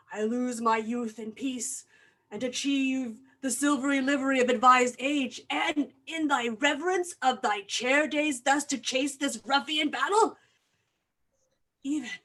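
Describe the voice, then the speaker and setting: A fairly high voice carries solemn, archaic verse. A woman plays an aging doctor, stern and bent on vengeance, declaiming each vow in the manner of a Shakespearean stage tragedy. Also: fairly bright tone, heavily acted. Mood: grave reflection. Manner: grave, declamatory, archaic diction.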